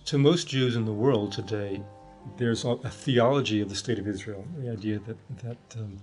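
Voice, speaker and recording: A man from the United States whose first language is Hebrew, speaking thoughtfully and haltingly in a documentary interview. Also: lowish voice, darkish tone, soft background music. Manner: thoughtful, halting, many restarts and fillers.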